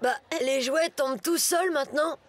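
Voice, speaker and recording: A woman's voice: sits high, normal speed, a little hiss or hum, lightly acted. A woman voicing a mean, gleeful schoolboy bully in a children's cartoon, with a taunting, sneering delivery. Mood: mocking. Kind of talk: French children's cartoon acting.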